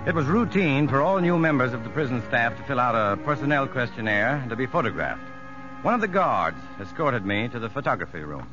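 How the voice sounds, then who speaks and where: A man's voice: mid-range voice, sing-song, brisk, loud music behind the voice, natural voice. An American man playing an earnest, polite, inexperienced prison psychologist who also narrates, in 1950s radio drama style. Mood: reflective narration. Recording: muffled old radio broadcast.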